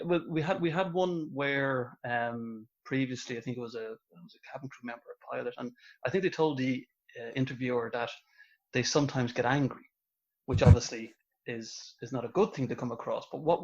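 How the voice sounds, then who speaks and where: A man's voice: mid-range voice, fairly quick. Hesitant male host, slightly dull audio. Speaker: interview host. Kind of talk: casual interview chat.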